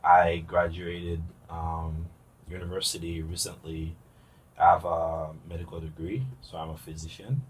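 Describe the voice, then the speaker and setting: A man from Haiti, a physician working as a research assistant, introducing himself in a hesitant, measured way. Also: sits low, little pitch movement, husky, rough recording. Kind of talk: casual self-introduction.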